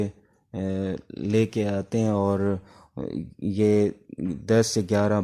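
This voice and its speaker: Pakistani guy teaching a class, deep soft-edged mellow voice, explains stuff with lots of pauses. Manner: explanatory, halting with pauses between phrases. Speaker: law teacher. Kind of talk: informal lecture explaining exam material.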